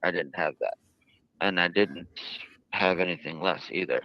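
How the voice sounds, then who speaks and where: An American man being interviewed, explaining himself in a halting, hesitant and wordy way. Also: deep voice, no room sound. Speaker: jail inmate being interviewed. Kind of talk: recorded interview. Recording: dull, close lo-fi interview audio.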